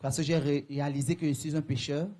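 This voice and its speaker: A man interpreting a sermon live, echoing each phrase quickly with frequent self-corrections. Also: fairly high pitch, little pitch movement, warm tone. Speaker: church interpreter.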